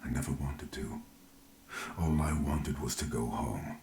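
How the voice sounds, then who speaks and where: Very deep, gravelly, brooding man. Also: unhurried, mellow, reverberant, slight background noise, exaggerated voice. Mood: brooding, confiding. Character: brooding, guarded man haunted by his disgraced past. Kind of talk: dramatic video game voice acting.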